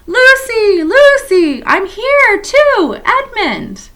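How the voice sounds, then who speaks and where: A woman voicing a young schoolboy who is sulky and proud, calling out loudly in a storybook reading. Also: very high-pitched, sing-song, extremely slow, voice pushed up, heavily acted.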